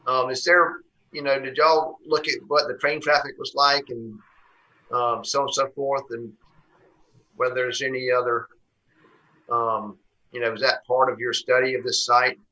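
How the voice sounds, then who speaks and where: A man, probably in his sixties, chairing a public meeting as board chairman in a halting way, searching for words. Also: medium pitch, level tone, pushed and bright, rough recording.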